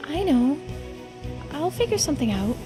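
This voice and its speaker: A woman voicing a polite, thoughtful young game character who muses aloud in a soft-spoken, reflective way. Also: high-pitched, sing-song, moderate pace, over music, pitched above the performer's own voice. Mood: wary, polite. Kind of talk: voiced game dialogue and narration.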